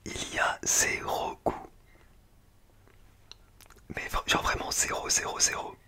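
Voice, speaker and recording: A man speaking in a breathy, unvoiced whisper, chatting casually and in slang in an ASMR tasting style. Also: slow pace, sharp tone. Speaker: ASMR food-tasting video creator.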